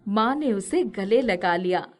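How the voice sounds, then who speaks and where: A woman's voice: mid-range voice, lively intonation, a little slow, a little mellow. A woman storyteller reading a scripted, dramatic family story. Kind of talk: scripted dramatic story narration with character dialogue.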